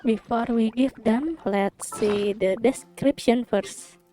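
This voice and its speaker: A woman's voice: a little high, slow pace, dark tone, soft background music. A woman giving casual commentary as she plays through a video game. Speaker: gamer narrating a walkthrough.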